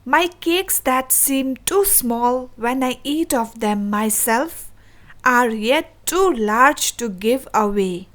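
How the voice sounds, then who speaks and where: A woman's voice: a little high, animated, takes it slowly, a bit bright. A woman with an Indian English accent voicing a selfish little woman who makes excuses for keeping her cakes. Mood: fussy.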